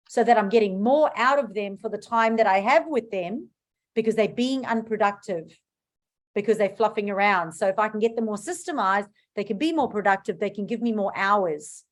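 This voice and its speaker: South African woman coaching, emphatic. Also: medium pitch. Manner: emphatic, repeats key words for stress. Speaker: business coach hosting a book club class. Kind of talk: informal online class talk.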